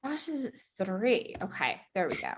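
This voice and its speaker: A woman's voice: medium pitch, wildly swooping pitch, moderate pace, slightly rough, faint music behind. A woman guest-hosting a trivia game show over a video call, chatty, giggly and self-deprecating.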